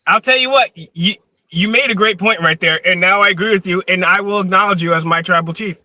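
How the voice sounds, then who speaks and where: Bright high-voiced teasing man. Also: quick pace, no room sound. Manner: teasing, playful, interjecting. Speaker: panel guest. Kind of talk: casual banter.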